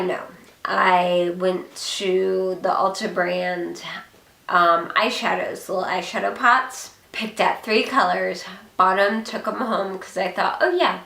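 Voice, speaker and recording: A young woman with a hoarse voice from a cold, chatting casually with many ums as she shows off her purchases. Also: medium pitch, fairly bright tone, reverberant. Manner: chatty, rambling, frequent ums. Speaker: beauty vlogger. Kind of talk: casual product haul chat.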